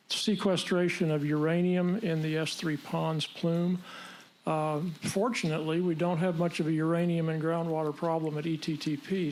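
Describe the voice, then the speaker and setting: Man, fairly high voice, flat intonation, measured factual answers, meeting Q&A. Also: slight background noise, low-quality recording. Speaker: technical staff answering questions. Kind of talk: public meeting Q&A.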